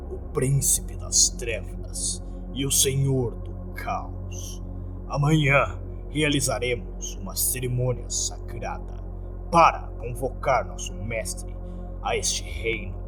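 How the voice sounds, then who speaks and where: A man voicing a smug, menacing male cult leader in a horror story, with a slow, pompous, deliberate delivery. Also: mid-range voice, lightly acted.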